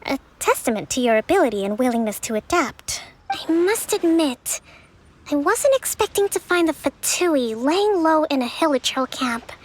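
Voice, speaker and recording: A woman voicing a composed young female strategist and priestess in video game dialogue, measured and analytical. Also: high voice, big pitch swings, a little hiss or hum. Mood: thoughtful. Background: game music.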